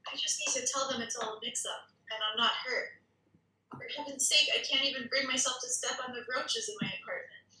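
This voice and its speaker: Woman acting scared confused civilian lady in a table read, really bright voice, echoey and far from mic. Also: high-pitched, a little fast. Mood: alarmed, protesting. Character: frightened, bewildered civilian woman dragged into spy trouble. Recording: echoey room, distant microphone.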